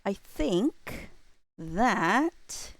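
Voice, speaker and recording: A woman's voice: medium pitch, sing-song, no echo, clean studio sound. A woman livestreamer chatting casually with her viewers, rambling and thinking aloud in a playful way. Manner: playful, quick to laugh. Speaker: livestreamer and host reading chat.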